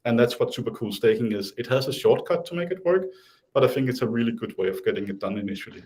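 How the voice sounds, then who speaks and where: A man's voice: mid-range voice, animated, fast, a little mellow. A man with a Danish accent, talking casually as an interview guest, hesitant with many restarts and fillers.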